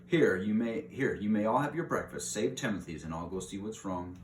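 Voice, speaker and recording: A man voicing a caring, brisk mother mouse in a storybook reading. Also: on the low side, expressive, lightly acted. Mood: concerned. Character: motherly female mouse, cheerful then anxious and firm. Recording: roomy echo, clear background hiss.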